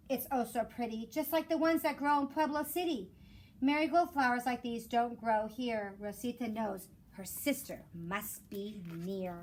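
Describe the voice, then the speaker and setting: A woman who teaches elementary school reads aloud expressively for children in a measured storytelling way. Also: high-pitched, a bit bright, low-quality recording. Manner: measured storytelling with rhymed cadence. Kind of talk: expressive children's picture book read-aloud. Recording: clear background noise.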